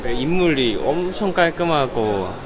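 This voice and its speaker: A man's voice: a little high, very animated, racing, a loud crowd. A man making brief, mumbled remarks off to the side of a family vlog. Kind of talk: casual remarks.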